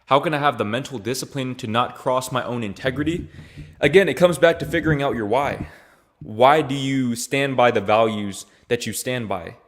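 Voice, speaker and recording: A young man from Louisiana, raised in Texas, answering viewer questions in a relaxed vlog and tossing in "bro" and "man" as he talks. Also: medium pitch, normal speed. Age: young adult. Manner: laid-back, slangy, repeats phrases with "bro" and "man". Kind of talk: casual Q&A vlog.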